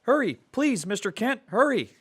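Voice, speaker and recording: A man's voice: very high voice, very animated, normal speed, fairly bright tone, slightly rough, pitched above the performer's own voice, heavily acted. A man voicing an anxious, urgent boy sidekick in an old-time radio superhero drama. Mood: distressed, pleading. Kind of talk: 1940s-style radio drama acting.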